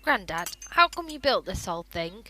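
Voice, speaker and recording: A female performer plays an earnest girl in a wartime radio play. Her voice is bright and very lively, curious and young, at a middling pitch. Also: moderate pace, slight background noise. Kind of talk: amateur radio drama acting.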